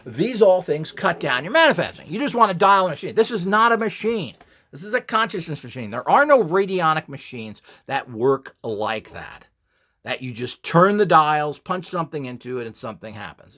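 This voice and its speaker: Male instructor, slightly high pitch, widely swinging intonation, opinionated, emphatic, unscripted rambling explanation. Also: a bit bright. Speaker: instructor and video presenter. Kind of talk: informal instructional monologue.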